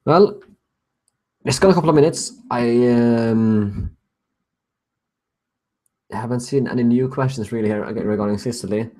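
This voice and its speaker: A man's voice: mid-range voice, a little slow, pauses often, dark tone, rough recording. A young Swedish man with a Swedish accent hosting a casual live-stream Q&A, answering viewer questions and chuckling often. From Sweden. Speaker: host.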